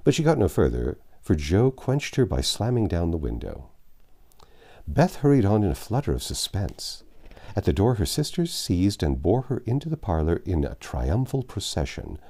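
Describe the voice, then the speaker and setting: Older guy reading a classic novel out loud, low mellow voice, big swoopy intonation, bit husky. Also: normal speed. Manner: lively, voices the characters' lines with raised pitch. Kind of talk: storybook read-aloud narration. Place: home library with bookshelves and armchair. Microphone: lapel mic.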